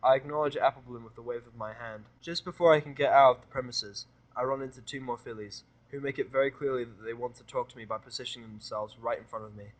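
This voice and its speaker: A man's voice: medium pitch, steady tone, quick pace, hardly any pauses, rough recording. A man narrating a first-person story as an amateur reader, in a wry, informal manner.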